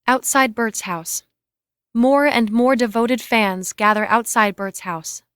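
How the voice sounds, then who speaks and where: A woman's voice: a little high, slow pace, fairly bright tone, clean tone, no room sound. Female script narrator, dry sound. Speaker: narrator reading screen directions. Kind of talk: scripted narration of stage and camera directions.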